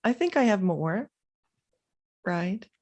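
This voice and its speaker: A woman from the Netherlands, a native Dutch speaker with a Dutch accent, giving a reflective, unscripted vlog monologue with halting, trailing phrases. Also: medium pitch, expressive, a little mellow. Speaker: spiritual vlogger.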